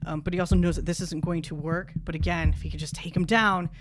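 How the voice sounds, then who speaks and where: Higher-voiced man playing a tabletop game. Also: fairly even intonation, fast, background noise. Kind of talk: casual in-character play and narration. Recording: clear music bed behind the voice.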